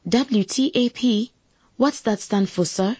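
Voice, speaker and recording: An actress playing a determined, idealistic young political candidate in a radio drama. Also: female voice, fairly high pitch, slow pace, darkish tone, husky, no echo. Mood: earnest, principled. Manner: earnest, assertive, formally polite. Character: determined, idealistic young woman running for civic office.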